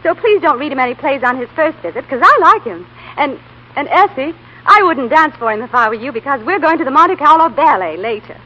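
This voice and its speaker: A woman's voice: high-pitched, expressive, a little fast, pushed and bright. An actress playing an eager, affectionate young woman in love, in a breathless 1930s radio drama style. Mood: excited, joyful. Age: young adult. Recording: dull 1930s radio broadcast recording.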